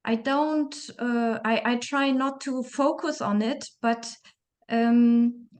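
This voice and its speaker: A woman's voice: fairly high pitch, steady tone, takes it slowly, darkish tone. A woman interviewing a guest, speaking thoughtfully and searching for her words. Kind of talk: reflective conversational interview.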